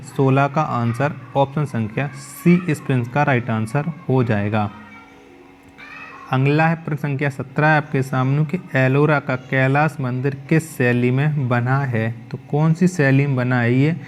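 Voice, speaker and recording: A man's voice: mid-range voice, a little slow, soft background music. A male teacher reading out exam quiz questions, then repeating each question and its answer back to the viewer.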